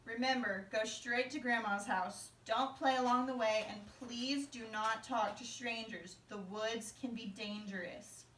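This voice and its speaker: A woman playing a gentle, cautioning mother in a fairy-tale puppet show. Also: a little high, steady tone, measured pace, few pauses, a little hiss or hum. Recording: echoey room, distant from mic.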